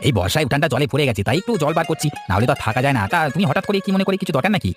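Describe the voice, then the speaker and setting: A man's voice: a little high, big pitch swings, rapid-fire, faint music behind. A man voicing an easygoing, obliging village neighbour in a cartoon story, conversational and questioning. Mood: curious, obliging. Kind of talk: Bengali cartoon story voice acting.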